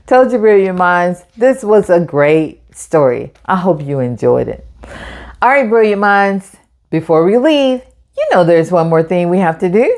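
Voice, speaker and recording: Female children's storytime host, encouraging teacher manner, unhurried delivery, slightly low pitch, speaks straight to the kids. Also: lively intonation, darkish tone. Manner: encouraging, addresses young listeners directly, asks questions.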